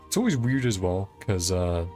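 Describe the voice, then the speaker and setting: Man, very low voice, expressive pitch, casual game commentary, reads dialogue aloud, music behind. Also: normal speed. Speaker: game streamer.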